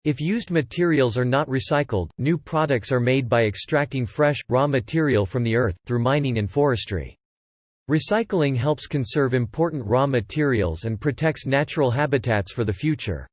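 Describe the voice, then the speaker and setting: A man reads a scripted practice passage aloud in a measured, explanatory manner. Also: medium pitch, dry recording. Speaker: reader of English test practice passages.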